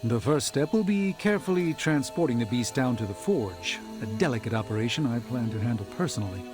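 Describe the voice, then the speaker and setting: A man playing a learned, self-assured sorcerer doctor in a video game cutscene, speaking in formal, precise, deliberate phrases. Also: medium pitch, expressive, natural voice. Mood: composed. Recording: loud game music behind.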